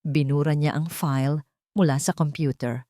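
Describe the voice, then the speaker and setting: A woman's voice: deep voice, fairly even intonation, normal speed, warm tone, dry recording, clean studio sound. A woman storyteller giving scripted dramatic narration of a love story.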